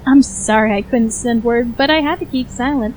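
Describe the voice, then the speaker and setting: A woman's voice: a little high, normal speed, a little mellow, slight background noise, lightly acted, low-quality recording. A woman playing a smirking, deceitful young woman in a fantasy audio drama, coy and falsely sweet. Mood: feigned innocence. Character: smirking, deceitful young dark-haired girl, secretly scornful.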